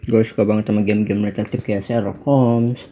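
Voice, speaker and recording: A man's voice: on the low side, little pitch movement, quick pace, warm tone, a little hiss or hum. An Indonesian man with an Indonesian accent doing casual, reactive gameplay commentary, mixing slang with exclamations. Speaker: gaming YouTuber.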